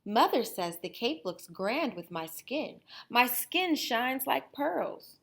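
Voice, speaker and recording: A woman's voice: on the high side, big pitch swings, slow, gravelly, fairly bright tone, pitched above the performer's own voice, full character voice. A woman voicing a vain, boastful young girl who admires herself, in a storybook read-aloud. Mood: smug, preening.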